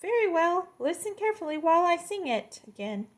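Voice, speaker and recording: A woman's voice: very high voice, sing-song, a little fast, voice pushed up. A woman voicing a proud little locust in a children's story, a fussy singing teacher giving precise instructions. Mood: instructing.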